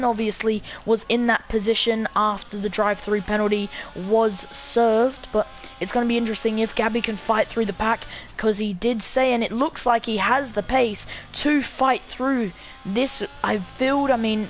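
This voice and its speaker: A woman commentating live on a sim race, her voice slightly croaky from being sick. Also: fairly high pitch, a little hiss or hum.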